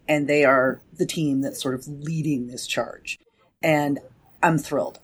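A woman's voice: low voice, breathy edge. A woman hosting a podcast, with an enthusiastic, emphatic way of talking and scripted promo reads. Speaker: podcast host.

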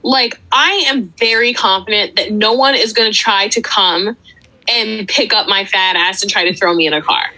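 Bright, husky-voiced woman joking around. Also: mid-range voice, poor audio. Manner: quick, joking, teasing. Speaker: podcast co-host. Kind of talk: casual true crime chat.

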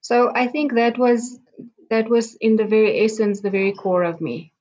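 A middle-aged woman from South Africa, a native Afrikaans speaker with a South African accent, slowly telling her life story as a guest, with many pauses and ums. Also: fairly high pitch, level tone, darkish tone, a little hiss or hum. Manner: slow, halting, many ums and restarts. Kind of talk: reflective personal storytelling. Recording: dull lo-fi sound.

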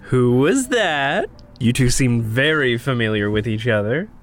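An actor playing an earnest, awkward young man in an audio drama, speaking politely and haltingly. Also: medium pitch, animated, a little hiss or hum, lightly acted. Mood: teasing. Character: earnest, awkward, anxious young male magic user. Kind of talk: naturalistic audio drama acting.

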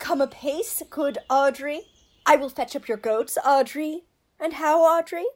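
A woman's voice: high-pitched, unhurried. A woman playing a wordy, pompous male court fool in a Shakespearean radio play, with a theatrical, mock-learned manner. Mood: wooing, pompous.

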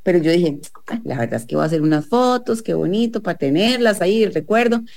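A woman's voice: fairly low pitch, expressive, quick pace, vocal fry, darkish tone. A woman in her thirties, a guest on a radio talk show, chatting and telling stories with lots of fillers. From Colombia, Medellín. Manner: chatty storyteller, many "o sea" fillers. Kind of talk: casual interview chat. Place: radio studio.

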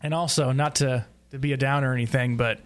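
A man hosting a casual podcast roundtable, speaking in a halting, self-deprecating way. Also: mid-range voice, fairly even intonation. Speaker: podcast host recapping an audiodrama.

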